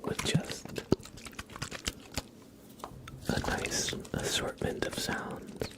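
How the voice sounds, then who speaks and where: Male ASMR streamer: gentle whisper, unhurried, casual and conversational, trailing pauses. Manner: soft, breathy whispering and low murmuring. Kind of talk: ASMR, casual chat with live stream viewers.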